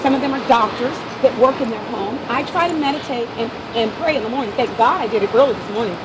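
A woman's voice: a little high, fast. An American woman, a local resident, arguing and negotiating insistently on the street, often repeating herself and interrupting. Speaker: local resident confronting a protester. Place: outdoors on a city street beside traffic. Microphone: handheld phone camera. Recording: noisy outdoor street, phone recording.

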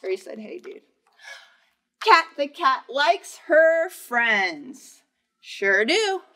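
A young American woman, a children's librarian, leading storytime in an animated, encouraging way, talking directly to small children. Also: high voice, very slow. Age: young adult. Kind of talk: children's storytime presentation and read-aloud. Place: indoors in a library, seated before bookshelves.

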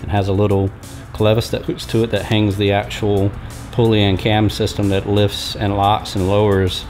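A man in his forties giving a relaxed, folksy step-by-step how-to walkthrough in a large metal workshop, talking close to a handheld camera. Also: fairly low pitch, moderate pace, soft background music. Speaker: fishing channel host. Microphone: handheld or head-mounted camera close up.

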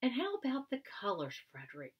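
A woman voicing a group of small, anxious field mice in a storybook, asking high, eager questions. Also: very animated, normal speed, highs cut off.

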